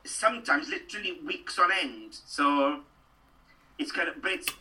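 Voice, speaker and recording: A man's voice: high-pitched, sing-song, moderate pace, sharp tone, far from the mic, low-quality recording. A middle-aged Welsh man from Wales, an actor chatting animatedly in an interview and rambling through stories with lots of restarts. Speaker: actor and guest.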